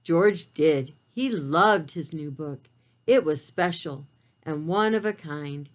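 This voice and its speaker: A woman reading a picture book aloud to young children, expressive and talking to them in simple, child-directed language. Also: deep voice, slow. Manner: expressive storytelling delivery. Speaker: preschool read-aloud storyteller.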